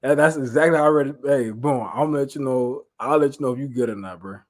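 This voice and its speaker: An American man with a mid-pitched voice leads a Bible lesson as a preacher. He moves between reading aloud and loose, slangy paraphrase. His delivery is informal and conversational, and he repeats phrases for emphasis. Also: fast.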